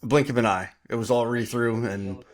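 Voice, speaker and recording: Host: man, 40s, mid-pitched, friendly and chatty, video call. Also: brisk. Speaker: podcast host. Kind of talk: casual interview.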